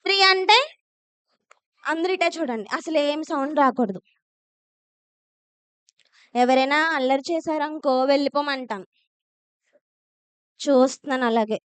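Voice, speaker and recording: A woman's voice: high voice, measured pace, pauses often, no echo. A woman hosting a Sunday school puppet show, addressing children live in a bossy, teacherly way. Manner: bossy, teacherly, repeatedly instructing.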